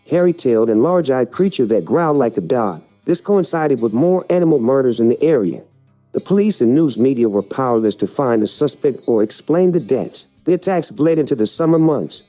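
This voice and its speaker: A man delivers scripted documentary narration in a mellow voice of middle pitch. He is measured and serious, with quiet music playing behind him.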